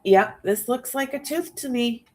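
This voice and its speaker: A woman's voice: on the high side, a little slow, darkish tone. A woman hosting a true crime livestream, musing aloud to her viewers with a stuffy nose. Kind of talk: casual live-stream commentary.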